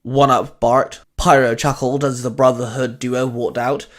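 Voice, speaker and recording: A man serves as narrator for a scripted fan fiction story. His voice sits at an average pitch as he reads out the events. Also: little pitch movement, measured pace.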